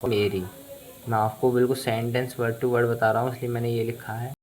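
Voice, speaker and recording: A man's voice: mid-range voice, level tone, normal speed, darkish tone, a little hiss or hum. A man with an Indian accent, a native Hindi speaker, teaching English in a bilingual lesson, explaining word by word and repeating phrases.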